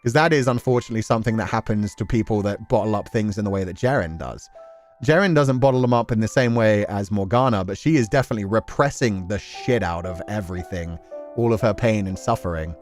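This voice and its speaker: A man's voice: on the low side, quick pace, soft background music. A man giving casual, emotive live commentary as a game streamer, swearing freely and acting out characters' thoughts as they analyse a story. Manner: emotive, acts out imagined inner thoughts, sweary.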